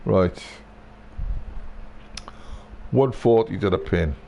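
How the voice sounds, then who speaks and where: Gravelly guy streaming a game, kinda low voice, grumbly and sweary, says stuff over and over. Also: drawn-out words, dark tone, slight background noise. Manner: grumbling, sweary, repeats himself. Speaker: video game live streamer. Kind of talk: casual live gameplay commentary.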